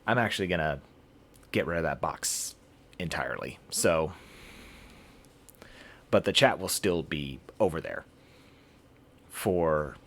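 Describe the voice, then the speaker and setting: Deep-voiced man livestreaming, slow and halting. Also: lively intonation, a bit bright. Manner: halting, rambling delivery with throat clearing. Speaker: game streamer, host. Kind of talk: casual livestream chat.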